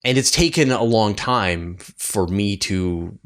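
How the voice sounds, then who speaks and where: Male host for a casual science interview, slightly low voice, repeats phrases for emphasis. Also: measured pace.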